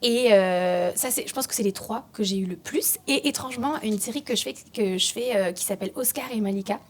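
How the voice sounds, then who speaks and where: A woman voice actress chatting in a relaxed interview, excitable and animated, quick to laugh. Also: medium pitch, slightly rough. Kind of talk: casual interview chat. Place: at a voice-acting festival.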